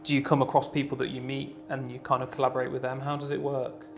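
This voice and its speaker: A man's voice: mid-range voice, monotone, quick pace, vocal fry, slight background noise. A man hosting a live webinar interview, asking friendly, loosely phrased questions with many fillers. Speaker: interviewer host.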